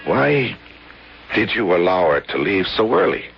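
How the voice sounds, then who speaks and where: An actor playing an uneasy, suspicious man in an old radio drama, anxious and probing, with a gravelly voice. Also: fairly low pitch, sing-song, unhurried, music in the background, heavily acted. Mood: uneasy, questioning. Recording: dull old radio recording, faint noise.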